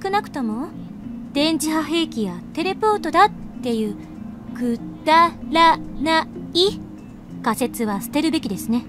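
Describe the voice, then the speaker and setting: High-voiced prickly young genius. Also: female voice, animated, brisk, music in the background. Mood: serious, businesslike. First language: Japanese. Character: prickly young genius woman scientist, curt and scolding. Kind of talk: visual novel voice acting.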